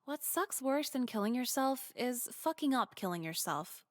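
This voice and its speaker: Woman acting a jaded, high-pitched teenage girl. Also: moderate pace, close to an everyday voice. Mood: bleak, deadpan. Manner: deadpan, weary, profane. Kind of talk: visual novel game voice acting.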